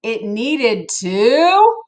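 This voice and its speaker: A woman reading a picture book aloud to children in an expressive, playful way, with casual asides to her listeners. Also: on the high side, drawn-out words. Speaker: storybook reader for children.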